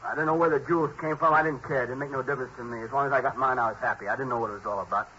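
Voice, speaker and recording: A man plays a jittery petty crook in an old radio drama, talking fast in a bright, tense voice as he whines and pleads that he did nothing wrong. The recording is muffled, with faint hiss. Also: mid-range voice, steady tone, lightly acted. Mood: pleading. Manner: whining, defensive, pleading. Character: nervous small-time male crook pleading innocence. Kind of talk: 1950s radio drama acting.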